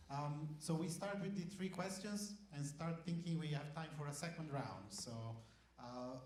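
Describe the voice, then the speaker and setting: Man moderating from afar in a roomy hall. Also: on the high side. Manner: brisk, prompting the audience. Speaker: panel moderator. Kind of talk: moderating a conference plenary. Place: conference hall with audience. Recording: roomy, distant mic, background noise.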